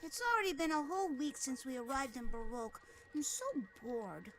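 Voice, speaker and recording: A woman's voice: high voice, animated, fairly quick, a crowd in the background. A woman voicing an earnest young girl in an anime fantasy game dub. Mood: bored.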